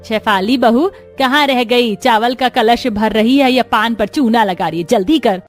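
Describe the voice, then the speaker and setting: A bossy older mother-in-law , acted by a woman hands out brisk orders in a high voice. It is cartoon story acting over music. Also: natural voice. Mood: impatient.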